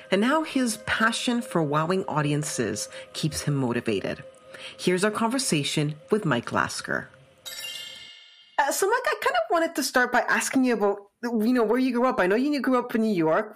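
Woman podcast host with lively intonation. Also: mid-range voice. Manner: curious, questioning. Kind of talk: podcast hosting, scripted intro and interview questions.

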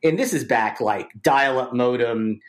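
A man in his forties from New York chats as a podcast guest, his pitch sitting in a plain middle range. He talks eagerly and loosely, circling back with fillers and false starts. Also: a little slow. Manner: eager, rambling, many fillers and restarts. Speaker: interview guest, visual effects artist. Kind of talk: casual interview chat.

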